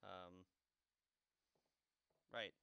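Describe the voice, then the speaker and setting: A man's voice: extremely slow, pushed and bright, dry recording. A man giving casual, dry and mischievous commentary as he plays a game. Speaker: gamer, let's play host.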